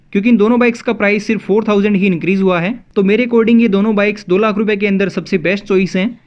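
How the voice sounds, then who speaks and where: An Indian man narrating a scripted video as a motovlog host, addressing viewers as friends in a dull, slightly lo-fi voice-over. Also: high voice.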